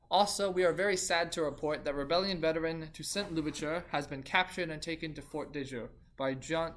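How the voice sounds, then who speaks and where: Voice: mid-range voice, close to an everyday voice. A performer playing an earnest young male French colonist who hosts a history roleplay podcast, a bit awkward and fond of puns. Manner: earnest, slightly awkward, laughs at own jokes. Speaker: podcast host. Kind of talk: amateur scripted roleplay.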